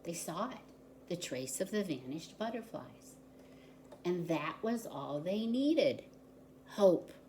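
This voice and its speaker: A woman reading a picture book aloud to young children in an expressive, deliberate storytelling manner. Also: fairly low pitch, slight background noise. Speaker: volunteer story reader.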